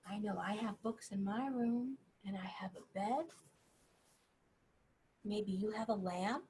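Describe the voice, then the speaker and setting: A woman reading a bedtime story to young children, gently encouraging them and pausing to ask questions. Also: mid-range voice, slight background noise.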